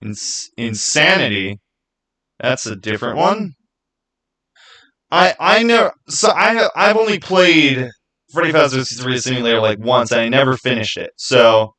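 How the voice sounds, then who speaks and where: A man's voice: medium pitch, animated, moderate pace, pushed and bright, poor audio. A man streaming live, chatting casually about game lore in an emphatic way and repeating his phrases for stress.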